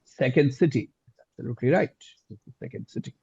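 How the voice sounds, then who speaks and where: Mellow, husky male quizmaster, dull audio. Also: medium pitch, no room sound. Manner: deliberate, repeats answers and phrases. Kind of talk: reading quiz questions aloud, live.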